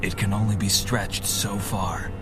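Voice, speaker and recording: An actor playing an earnest, commanding costumed hero in an audio drama, with a stern manner. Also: male voice, fairly low pitch, level tone, unhurried, over loud music, natural voice. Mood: grave.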